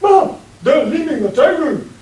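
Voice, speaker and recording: A man playing a slow-witted, bumbling henchman in an amateur radio play. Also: very high voice, big pitch swings, darkish tone, heavily acted. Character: dim, bumbling male henchman. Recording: roomy, distant, faint hiss.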